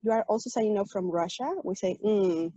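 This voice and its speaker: A woman's voice: medium pitch, fairly quick, dark tone, husky, dry recording. A woman from Mexico with a Mexican accent, Spanish her first language, earnestly explaining her work and story with fillers and restarts. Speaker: tech program manager, panel guest. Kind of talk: personal story on a virtual panel. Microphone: video call. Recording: dull lo-fi video call audio.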